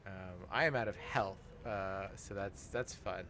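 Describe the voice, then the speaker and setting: A man's voice: lowish voice, measured pace, bright, forceful tone, slight background noise. A man giving casual, rambling let's play commentary as he plays a video game, swearing freely. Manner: rambling, profane. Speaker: host playing a video game.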